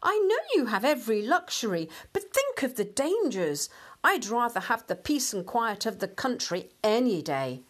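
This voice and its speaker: Storybook country mouse, male, timid and homely, high-pitched, earnest, excitable, played by a woman. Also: moderate pace. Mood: frightened, indignant. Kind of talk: children's storybook character voice.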